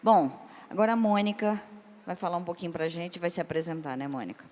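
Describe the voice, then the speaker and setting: A woman's voice: lowish voice, steady tone, a little fast, a little mellow, slight background noise. Chatty woman moderator in an echoing hall. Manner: chatty, enthusiastic, restarts often. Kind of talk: casual seminar talk. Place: large event hall. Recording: reverberant hall.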